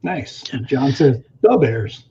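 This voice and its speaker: A man's voice: mid-range voice, sing-song, slow, creaky, mellow, breathy edge. A man hosting a casual live show and relaying viewer comments, heard over a slightly muffled line. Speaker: host, reads viewer comments.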